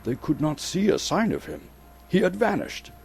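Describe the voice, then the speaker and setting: A man from Canada giving a dramatic, suspenseful storybook narration with theatrical, deliberate phrasing. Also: high voice, big pitch swings, creaky, darkish tone. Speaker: actor reading a story aloud. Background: music.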